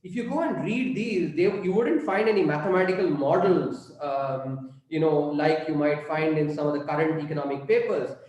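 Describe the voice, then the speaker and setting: Halting Indian man in an echoey room. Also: fairly high pitch, lively intonation, darkish tone. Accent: Indian English. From southern India. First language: Tamil. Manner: halting, many fillers and restarts. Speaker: guest speaker on economics. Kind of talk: unscripted college lecture.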